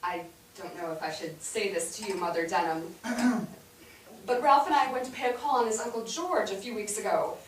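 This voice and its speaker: A woman's voice: mid-range voice, moderate pace, close to an everyday voice. A woman playing a proper, deferential young wife in a staged play reading, speaking carefully and courteously. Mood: polite, tentative. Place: theatre stage, recorded from afar. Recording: distant noisy microphone in echoey theatre.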